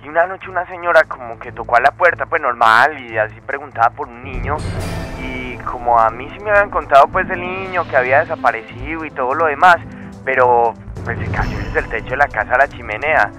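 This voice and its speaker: A man's voice: medium pitch, pushed and bright, soft background music, lightly acted. A young man playing a rambling, colloquial caller telling a ghost story on a radio drama. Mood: nervous, matter-of-fact. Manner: halting, colloquial, matter-of-fact.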